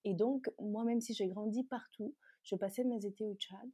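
A woman from Chad, a native Tazaga speaker, talks as an interview guest. Her voice is mellow and a little husky, recorded close and dry. She explains things in a conversational way. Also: medium pitch, normal speed, no echo. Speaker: interview guest, beauty brand founder.

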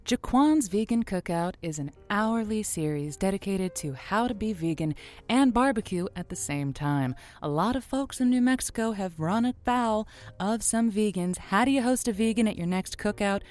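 A woman's voice: medium pitch, lively intonation, normal speed, darkish tone, natural voice. A woman playing a wry late-night lonely hearts radio host in an audio drama. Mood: announcing. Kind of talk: semi-improvised audio drama, radio call-in show patter.

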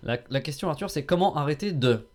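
A man's voice: fairly high pitch, animated, fast talker. A man hosting a comic quiz podcast, reading questions and options with a teasing tone. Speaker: quiz host.

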